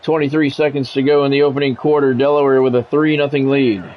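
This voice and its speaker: A man's voice: mid-range voice, level tone, fast, muffled recording, slight background noise. A man doing practised radio-style football commentary in clipped phrases, mixed with casual chat, as he streams a video game.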